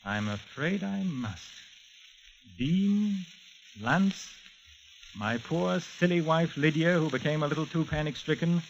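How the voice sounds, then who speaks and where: A muffled, hissy old radio recording carries a suave villain. His voice sits a little high. He is mocking and condescending, a polished murderer gloating over his victim in forties thriller style. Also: slow pace. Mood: coldly menacing. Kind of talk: 1940s radio thriller acting.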